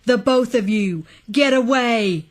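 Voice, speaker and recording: A woman's voice: mid-range voice, expressive, unhurried. A woman voicing a frantic, cornered man in an audiobook, accusing and breathless, with a ragged edge. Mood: panicked accusing. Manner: breathless, accusing, building to shouts. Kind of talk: audiobook character acting.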